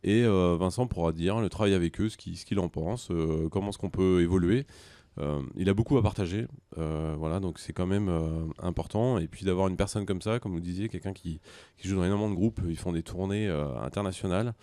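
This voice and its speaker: A man with a very low, mellow voice. He answers interview questions conversationally, feeling his way haltingly through explanations, in a dry recording. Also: no room sound. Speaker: music conservatory director, interview guest.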